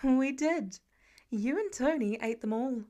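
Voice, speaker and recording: A woman voicing a patient, gently firm adoptive mother in a story reading, with measured delivery. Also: high voice, lively intonation. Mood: patient, wry.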